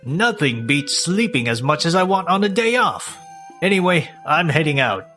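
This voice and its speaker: A selfish, lazy young husband voiced by a man in a manga dub, with very lively, swooping intonation over faint music. He sounds smug and dismissive, sliding into whiny self-justification. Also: a little high, moderate pace. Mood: lazy, self-centred.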